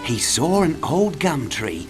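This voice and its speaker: A woman's voice: low voice, sing-song, slow. A woman narrating a scripted children's story as a storyteller. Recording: guitar music behind narration.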